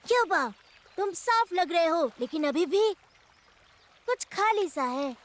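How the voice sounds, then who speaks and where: A woman's voice: very high-pitched, lively intonation, slow pace, a little hiss or hum, heavily acted. A woman voicing a bossy but well-meaning young boy animal cub in a children's cartoon, blunt and childlike. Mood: determined, reassuring.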